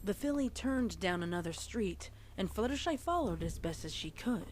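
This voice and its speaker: A woman's voice: medium pitch, expressive, normal speed, a crowd in the background. A woman reading fan fiction aloud as a storyteller, with casual reaction asides. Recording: faint background noise.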